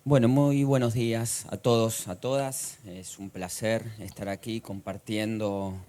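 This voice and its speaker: A middle-aged man with an Argentine accent, giving a formal conference talk, measured and filled with pauses and hesitations. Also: lowish voice, level tone, warm tone. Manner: measured, with frequent "eh" and "digamos" fillers. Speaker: conference speaker.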